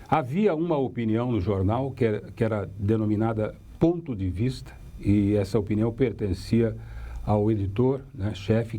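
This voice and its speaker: An older man with a Brazilian accent giving a measured recollection in an interview. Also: on the low side, dark tone. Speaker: radio veteran being interviewed.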